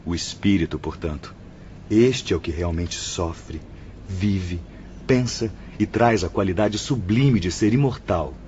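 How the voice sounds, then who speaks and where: An actor playing a compassionate, assured male spirit surgeon in a radio drama, solemn and deliberate, with a Brazilian accent. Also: low voice, a little mellow, slight background noise. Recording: dull lo-fi radio drama, music behind.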